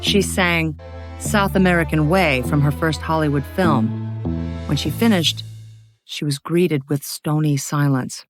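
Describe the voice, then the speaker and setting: A woman reading a scripted biography for children as a narrator, with expressive, dramatic storytelling. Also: low voice.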